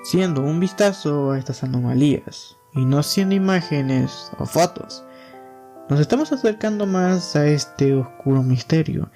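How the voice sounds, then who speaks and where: Male commentary narrator, soft mellow tone, a bit higher-pitched, light music bed. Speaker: YouTube host. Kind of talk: scripted video narration and commentary on horror series.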